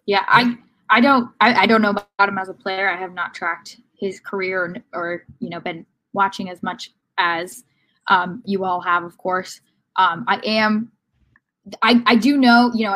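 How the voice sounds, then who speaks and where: A woman's voice: medium pitch, a bit bright. A woman talking casually and analytically as a sports podcast panelist, often hesitating and rephrasing as she goes. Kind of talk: casual football discussion.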